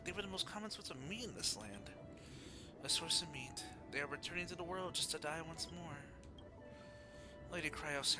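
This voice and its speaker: A man voicing a solemn, compassionate fantasy noble in a formal, grave, measured way for a game story. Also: fairly high pitch, close to an everyday voice.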